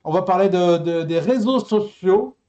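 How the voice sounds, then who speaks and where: A man's voice: high-pitched, moderate pace, warm tone. A man co-hosting a casual live talk show, joking and teasing with wordplay.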